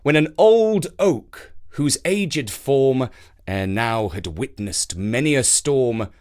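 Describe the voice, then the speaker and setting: A man presenting and reading a scripted verse fable as a storyteller, in an expressive, measured and dramatic way. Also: medium pitch.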